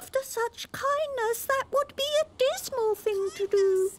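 A woman's voice: very high-pitched, measured pace, background noise, pitched above the performer's own voice. A woman voicing small, anxious young oysters in a storybook poem, pleading politely and plaintively. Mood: alarmed pleading.

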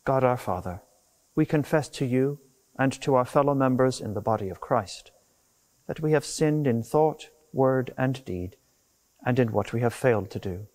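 A middle-aged man, a deacon, reading formal prayers in a measured, solemn manner. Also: medium pitch, animated, a little mellow, rough recording. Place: inside a church. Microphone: clip-on lapel mic.

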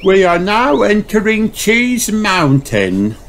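Man doing a high, cheery lady rabbit guide. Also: big pitch swings, slow pace, exaggerated voice. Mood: cheery, welcoming. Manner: stretched-out, announcing. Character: cheery female rabbit tour guide, welcoming and theatrical.

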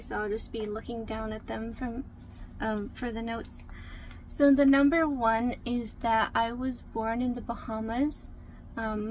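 A woman in her twenties talking casually to camera in a small camper, soft-spoken and chatty, with many ums as she reads from notes. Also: fairly high pitch, slight background noise, poor audio. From Canada. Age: 20s. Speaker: vlogger. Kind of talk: casual get-to-know-me talk to camera. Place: small room inside a camper. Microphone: camera in front at close range.

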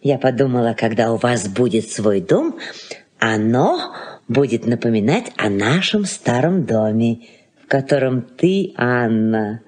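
Slow-spoken, fussy elderly aunt. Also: female voice, very deep voice, expressive, a little mellow. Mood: flustered, effusive. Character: elderly aunt, fussy, wistful, sharp with her husband. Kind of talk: Soviet radio play acting, 1981.

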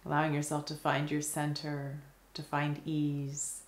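Low, even-voiced woman guiding meditation. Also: reverberant. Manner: gentle, unhurried, with long pauses. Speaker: guided meditation teacher. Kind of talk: slow, soothing guided meditation.

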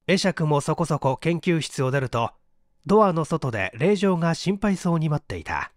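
A man's voice: a little high, sing-song, normal speed, dry recording, studio quality. A man reading a literary story as a scripted audiobook narration. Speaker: audiobook narrator and stage actor.

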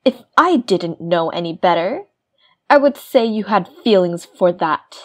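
A woman's voice: fairly low pitch, animated, unhurried, fairly bright tone. A woman acting a scheming, vindictive young villainess in an audio drama, smug and commanding. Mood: cold, scornful. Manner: commanding, coolly menacing.

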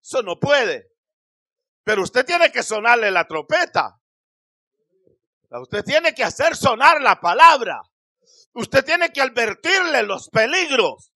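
A middle-aged man preaching a sermon in a small church hall, speaking into a handheld microphone with emphatic, drawn-out phrases that rise to shouts. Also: extremely high pitch, big pitch swings. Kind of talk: Spanish-language Pentecostal sermon.

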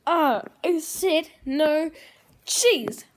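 High-voiced woman hosting a puppet cooking show. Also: expressive, slight background noise. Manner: hesitant, halting, lots of ums. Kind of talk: improvised puppet comedy. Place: kitchen table, indoors.

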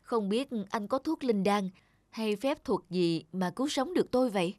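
A woman's voice: medium pitch, expressive, normal speed, a little mellow, dry recording, lightly acted. A woman voicing a sly male traveller and thief in a cartoon folk tale, ingratiating and inquisitive. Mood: grateful, probing.

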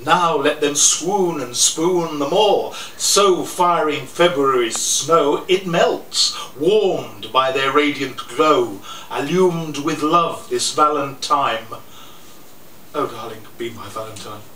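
An older man giving a slow, theatrical reading of his own poems at a live cafe event, chuckling between pieces, heard through a stand mic set off to the side. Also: fairly high pitch, a bit bright. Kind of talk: live poetry reading with asides. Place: small cafe room with an audience. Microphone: stand mic a little off to the side. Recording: roomy, distant camera audio with faint noise.

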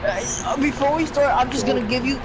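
Man, silly banter, goofy play-acting, loud background noise. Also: very high-pitched, fairly quick, crowd noise. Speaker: gamer in party chat. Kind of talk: joking casual chat while gaming. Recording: very noisy online party chat.

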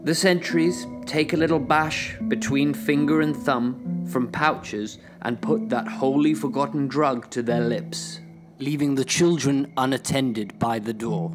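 A man gives a scripted dramatic narration of a stage play. His pitch is mid-range and his pace is very slow. Prominent instrumental music plays underneath. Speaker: narrator and reader. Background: instrumental music with guitar.

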